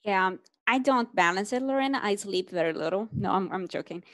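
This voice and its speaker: A woman's voice: medium pitch, animated, a little fast, no room sound. Brazilian-accented woman, thirties, earnest panelist, headset mic, dry close sound. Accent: Brazilian Portuguese. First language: Portuguese. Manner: earnest, reflective, lightly self-joking. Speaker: panelist, podcaster. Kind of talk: panel discussion over video call. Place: small home room.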